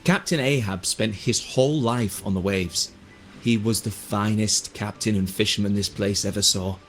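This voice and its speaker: Man playing a low-voiced, thoughtful seafarer. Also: moderate pace, faint music behind, close to an everyday voice. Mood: pondering, hopeful. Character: thoughtful adult male seafarer, earnest and wistful. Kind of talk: video game voice acting, narrative dialogue.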